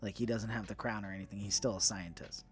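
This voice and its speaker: A man's voice: fairly low pitch, fairly even intonation, fast. Emphatic man in casual podcast chat. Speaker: podcast co-host. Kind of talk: casual nerd-news chat.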